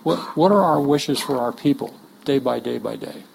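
Brief: male workshop leader, gravelly voice, conversational teaching, restarts often, faint background hiss. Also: medium pitch, normal speed, rough recording. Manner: earnest, joking asides, frequent restarts.

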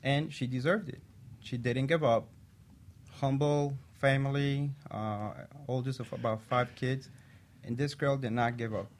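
A man's voice: mid-range voice, steady tone, measured pace, slight background noise. Earnest man telling his story. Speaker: physician assistant guest. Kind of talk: personal story on a talk show.

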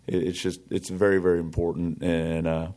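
A man's voice: deep voice, flat delivery, moderate pace, dark tone. A man hosting a casual podcast interview, affirming his guest and asking questions in a conversational way. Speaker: podcast host, police officer.

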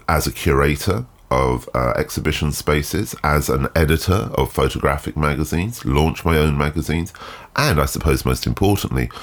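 A man hosting a solo photography podcast, sounding nasal and congested from a cold, speaking in a measured, thoughtful way with frequent ums. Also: rumbling low. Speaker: podcast host. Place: garden shed.

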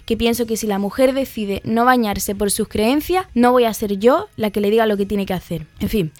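A woman's voice: medium pitch, no echo. A woman hosts a podcast, chatting through a casual film review with personal asides. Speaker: podcast host.

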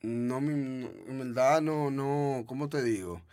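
A man's voice: mid-range voice, measured pace, darkish tone, clean tone, dry recording, clean studio sound. A man from the Dominican Republic with a Dominican Spanish accent, a trap artist talking casually in an interview, often repeating himself and restarting.

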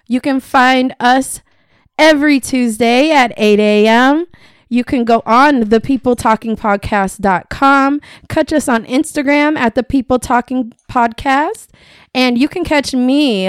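A woman guesting on a podcast, chatty and loud-voiced, recording her intro plug in a studio. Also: fairly high pitch, smooth, no echo. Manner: chatty, self-described loud voice. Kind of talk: casual chat and recorded intro plugs.

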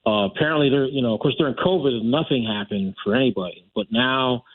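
A man's voice: medium pitch, fast, no room sound. A middle-aged man talks casually as a radio guest, circling back and correcting himself as he goes. His voice comes through a thin, narrow phone line. The sound is dry, with no room around it. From United States. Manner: rambling with restarts and self-corrections. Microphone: telephone.

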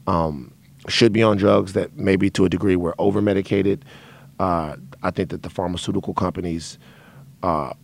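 Raspy, gravelly-voiced man hosting a podcast. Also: low-pitched, dry recording. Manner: candid, rambling, with frequent um and uh. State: dry mouth, dry throat. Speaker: podcast host. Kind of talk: casual monologue to listeners.

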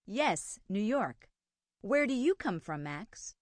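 A woman's voice: mid-range voice, big pitch swings, slow pace, no echo. An American woman hosting a radio show in scripted English-teaching dialogue.

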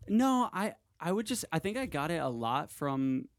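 A man's voice: fairly high pitch, sing-song, normal speed, dry recording, studio quality. A man chatting casually as a podcast co-host, rambling through jokey anecdotes and quoting himself. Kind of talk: casual chat.

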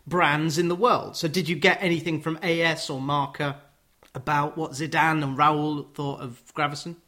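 A male radio show host interviews his guest with wry, playful remarks. His voice sits a little higher than usual. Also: flat delivery, moderate pace, pushed and bright. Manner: wry, jokey asides. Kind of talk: conversational interview.

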